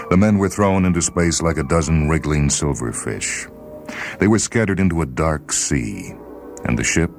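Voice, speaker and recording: A man hosting and reading a short story as dramatic, solemn, measured narration. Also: extremely low pitch. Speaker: host and reader of a radio short story series. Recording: radio reading over background music.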